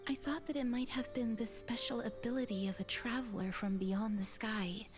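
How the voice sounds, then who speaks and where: An actress playing a gentle, solemn young female mortician in a video game, soft, breathy, formal and apologetic. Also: on the high side, moderate pace, music in the background, natural voice. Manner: formal, polite, hesitant.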